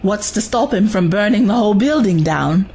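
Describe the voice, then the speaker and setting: Animated, blunt female adventurer played by an actress. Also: medium pitch, moderate pace, breathy edge. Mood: urgent, wary. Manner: direct, questioning. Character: blunt, questioning young female companion of a traveller. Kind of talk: audio drama acting.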